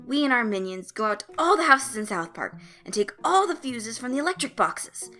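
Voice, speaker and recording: A peppy boy supervillain, acted out by a woman, grandiose and excitable. The voice jumps up high and loud as he declaims evil schemes with adorable theatrical pride, in fan-fiction storytelling over music. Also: fairly quick. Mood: excited, plotting. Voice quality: peppy, bright.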